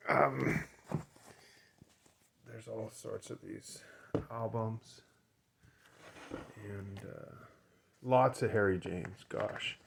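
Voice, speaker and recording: A man's voice: lowish voice, extremely slow, gravelly, long pauses, background noise. A man giving a casual, unscripted show-and-tell as a collector, hesitant and rambling, often trailing off mid-thought. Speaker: record collector and seller showing items.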